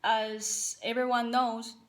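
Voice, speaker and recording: A woman's voice: on the high side, little pitch movement. A young woman from Paraguay, a Spanish speaker with a Paraguayan Spanish accent, a college soccer player giving an earnest, careful prepared personal speech. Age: young adult. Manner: earnest, careful and measured, with some non-native phrasing.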